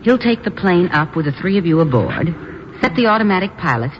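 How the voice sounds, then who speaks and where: A woman's voice: fairly low pitch, very animated, brisk. A ruthless female crime boss in a vintage radio thriller. She is condescending and in command, her intonation very varied. The recording is muffled with faint noise. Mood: smug. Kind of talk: 1950s radio drama acting.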